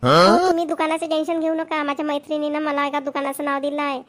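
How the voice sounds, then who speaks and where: A woman's voice: high-pitched, fast talker, a bit bright. A woman voicing a chatty, insistent young wife in a Marathi cartoon, with a Maharashtrian accent. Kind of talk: cartoon voice acting.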